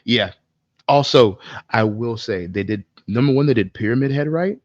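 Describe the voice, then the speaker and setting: A man's voice: medium pitch, moderate pace, dry recording. A man co-hosting a podcast, talking informally with opinions and casual swearing, on a slightly dull microphone. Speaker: podcast co-host. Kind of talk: casual chat about movies and games.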